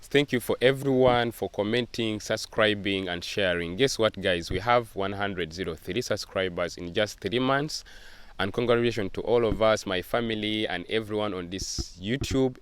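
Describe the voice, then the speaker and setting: A man's voice: lowish voice, clean tone, no echo. A man hosting a casual interview for his YouTube channel, who eagerly repeats his guest's answers back to her.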